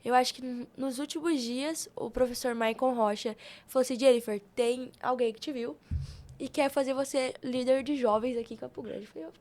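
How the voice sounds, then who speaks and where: A young woman with a high voice and a Brazilian Portuguese accent. She tells stories in an informal, animated podcast style, slipping into quoted dialogue as she goes. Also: a little fast. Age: young adult. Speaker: podcast guest, youth institute member. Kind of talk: casual storytelling on a podcast.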